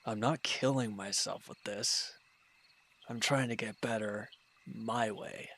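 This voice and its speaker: Wounded villain for roleplay audio, male actor: lowish voice, proud, embittered, short-tempered, brusque and dismissive delivery. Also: fairly even intonation, takes it slowly, fairly bright tone, full character voice. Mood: irritated, defensive. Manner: curt, irritable, dismissive, sighing. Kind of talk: dramatic roleplay audio monologue.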